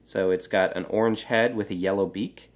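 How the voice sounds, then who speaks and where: A man talks through a building tutorial in a relaxed, explanatory way. His voice is stuffy and congested from a cold, sitting a bit low, with plenty of filler words along the way. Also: normal speed. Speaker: Lego builder and channel host. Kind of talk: casual how-to building tutorial.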